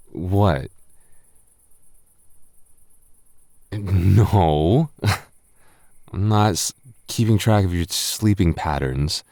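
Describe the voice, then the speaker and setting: A man's voice: low voice, extremely slow, a little mellow, no room sound, studio quality, exaggerated voice. A man acting a tipsy young roommate who is teasing, flustered and secretly smitten, stammering and rambling in a boyfriend roleplay audio. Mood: flustered, surprised.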